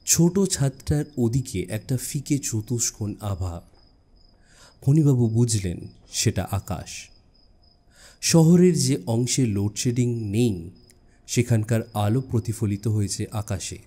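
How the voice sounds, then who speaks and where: A man narrating a suspense story dramatically, with expressive phrasing and deliberate pauses. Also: medium pitch. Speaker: audiobook narrator.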